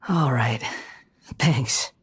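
A man's voice: mid-range voice, drawn-out words, slightly rough, exaggerated voice. A man voicing a guilt-ridden, aloof young wanderer in a video game, somber and restrained. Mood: resigned. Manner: somber, restrained, sighing delivery. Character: brooding youthful male puppet wanderer, guilt-ridden and aloof.

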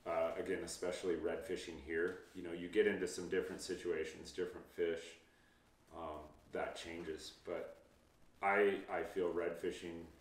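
A man in his thirties, a fishing guide, giving easygoing, reassuring interview answers with frequent ums, seated in a home office. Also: low-pitched. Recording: roomy, distant mic.

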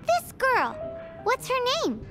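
A very high, squeaky fairy girl from a video game. The delivery is childlike, cheeky and animated, swooping around in pitch. Music plays prominently underneath. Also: slow pace, heavily acted. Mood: doubtful, curious. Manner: perky, speaks of herself in third person. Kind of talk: video game character acting.

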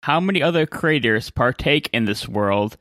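A man's voice: medium pitch, animated, moderate pace, fairly bright tone, no room sound. A man hosting an interview and putting questions to his guest. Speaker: interviewer, host.

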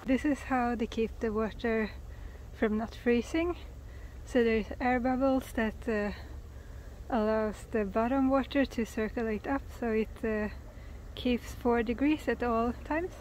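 A woman in a fairly high voice. She explains things informally and with enthusiasm, like someone chatting to a handheld camera on a small boat. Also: a little slow, a little mellow, a little hiss or hum, poor audio. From Sweden. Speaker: sailing vlogger. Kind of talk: casual explanatory chat to camera. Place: small sailboat, in cabin and covered cockpit, and outdoors at a marina.

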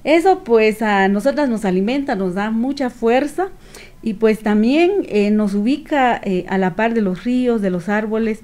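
A middle-aged woman with a Guatemalan accent giving earnest, reflective interview answers in a measured way, with pauses. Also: a little high, lively intonation, a little mellow. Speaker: activist guest. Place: small radio studio with several people. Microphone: studio microphone on stand.

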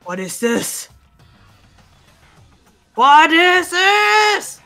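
A woman's voice: very high-pitched, sing-song, drawn-out words, sharp tone, exaggerated voice. A woman playing a huge, fierce, protective young female fighter in a video game, solemn and intense. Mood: outraged. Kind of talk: video game character voice-over. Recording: music bed behind voice.

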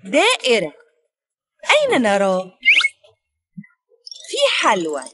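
Slow, husky woman teaching little kids. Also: fairly high pitch, wildly swooping pitch, a bit bright. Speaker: narrator of a shapes lesson for children. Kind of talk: slow scripted teaching of single words.

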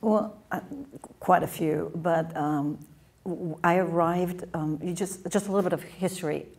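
Gravelly low woman with a Bulgarian accent. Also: fairly even intonation, unhurried, darkish tone. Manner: wry, laughs through her stories. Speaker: production designer on a panel. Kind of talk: casual storytelling on a panel. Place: theater stage before an audience. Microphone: handheld mic.

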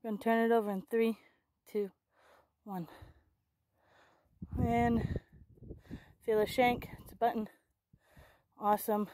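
An excited woman in her thirties talks to viewers while walking a farm field, often correcting herself as she goes. Her voice sits a little high and sounds dry and close, with little room sound. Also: slow pace, pauses often, dry recording. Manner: excited, chatty, self-correcting. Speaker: metal detecting vlogger. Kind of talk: casual outdoor vlog narration. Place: open farm field outdoors. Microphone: handheld or body camera close up.

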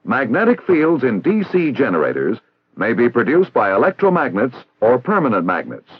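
An American man reading scripted instructional narration in a formal, measured, didactic way, as on a 1960s training film soundtrack. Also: mid-range voice, huge pitch swings, breathy edge, dry recording, phone-quality sound. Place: recorded voice-over.

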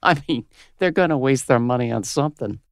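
A woman in her fifties playing a crude, cynical radio host with a smoker's gravelly voice in a comedy audio drama. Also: rumbling low, animated, fairly quick, no room sound, studio quality. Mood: brash, cynical. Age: 50s. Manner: brash, foul-mouthed, switching to syrupy on air. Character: crude, cynical, hard-bitten 57-year-old female radio host.

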